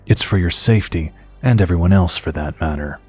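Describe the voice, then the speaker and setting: Low-voiced, firm federal agent. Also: male voice, darkish tone, slightly rough, faint music behind. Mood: commanding. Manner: grave, authoritative, measured. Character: firm, official male FBI agent. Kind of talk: audio drama acting.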